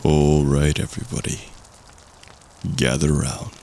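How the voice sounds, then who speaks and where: A man playing a storyteller recalling a spooky childhood tale, with slow, suspenseful, dramatic phrasing in an audio roleplay. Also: little pitch movement, mellow, exaggerated voice. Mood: ominous suspense. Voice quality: deep, gravelly.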